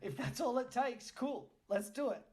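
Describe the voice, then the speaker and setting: A man's voice: extremely high pitch, lively intonation, moderate pace, a little mellow. A man hosting a casual improvised comic role-play, asking questions in a mock-incredulous manner. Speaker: podcast host.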